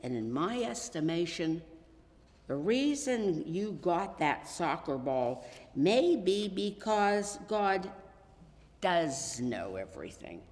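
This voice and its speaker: A woman voicing a gentle, thoughtful father in a children's story, speaking deliberately and fondly. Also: fairly low pitch, sing-song. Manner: deliberate, fond. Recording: reverberant hall.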